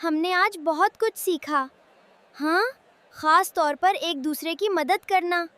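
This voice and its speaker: A woman's voice: extremely high pitch, fairly bright tone. A woman voicing a cheerful, helpful young boy vegetable in a children's cartoon, with an earnest, encouraging manner. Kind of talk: children's cartoon moral story dialogue.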